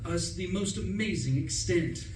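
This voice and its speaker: Recorded from a distance in a roomy space, a foppish young English gentleman acted by a man chatters on in a fairly high voice. He is breezy, pompous and wordy, telling his own grievances as a comic radio play. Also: a little slow. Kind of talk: first-person comic radio play narration. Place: live stage performance in a room. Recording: roomy, distant mic, faint noise.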